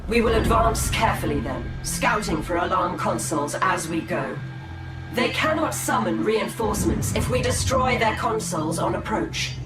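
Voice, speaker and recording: An actress playing a proud, guarded alien seer and assassin in a game, speaking in a measured, formal, cool way. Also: female voice, mid-range voice, animated, lightly acted. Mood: watchful. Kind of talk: dramatic game cinematic acting. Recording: echoey hall, music behind.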